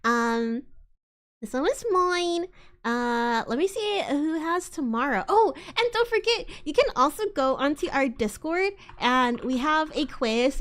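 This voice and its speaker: A woman with a distinctly high voice hosts a casual livestream. She talks in loose, slangy, rambling chat and is prone to giggles. Her delivery is informal and addressed straight to her viewers. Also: fairly bright tone. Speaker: livestream host.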